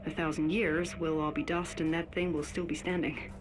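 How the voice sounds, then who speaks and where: An insistent chief engineer played by an actress leaves a professional message in a video game. Her voice is on the low side, brisk and emphatic, with clear music behind. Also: flat delivery, close to an everyday voice. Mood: insistent, nervous.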